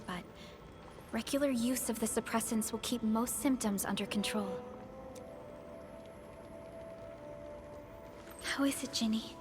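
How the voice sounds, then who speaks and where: An actress playing a composed, caring female medic in a video game, gentle and measured in manner. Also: on the high side, lightly acted. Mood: soothing. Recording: music behind.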